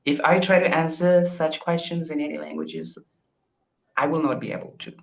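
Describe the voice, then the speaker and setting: A man's voice: on the high side, steady tone, moderate pace, gravelly, slight background noise. A young man with an Indian accent, practicing casual English conversation on a video call, often hesitating and repeating words as he starts sentences. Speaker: English learner.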